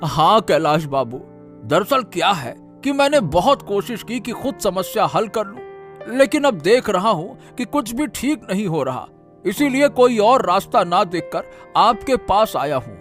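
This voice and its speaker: A man plays an aggrieved cartoon farmer with a high, very lively voice. He pleads his case deferentially and plaintively, with soft music behind. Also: unhurried, full character voice. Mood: respectful. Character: troubled, aggrieved village farmer. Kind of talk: Hindi cartoon moral story dialogue.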